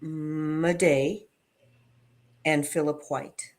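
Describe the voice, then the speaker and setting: A woman with a low voice leads a spiritual reading. She takes her time, delivering the text slowly and deliberately in short, measured groups of words. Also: fairly even intonation. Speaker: affirmation and reading leader. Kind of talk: scripted inspirational reading.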